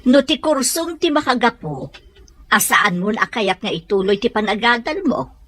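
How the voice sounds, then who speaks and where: A woman's voice: on the high side, animated, moderate pace, a bit bright. A woman with a Filipino Ilocano accent playing a worried, admonishing mother in an audio drama. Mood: exasperated, coaxing.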